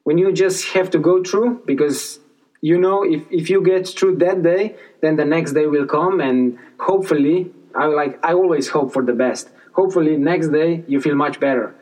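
Halting young man, slightly high voice. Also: darkish tone, distant mic, low-quality recording. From Slovenia. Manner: hesitant, halting, fillers, non-native phrasing. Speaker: professional cyclist, guest. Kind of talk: casual interview answers.

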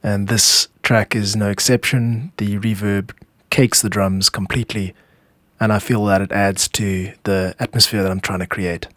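A man's voice: fairly low pitch, little pitch movement, normal speed, fairly bright tone, no room sound, clean studio sound. A man narrating a scripted podcast as its host, explaining how music is made.

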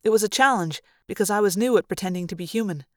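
A woman voicing a gentle ancient android man. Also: mid-range voice, dry recording, studio quality, close to an everyday voice. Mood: calm. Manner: soft, measured, earnest.

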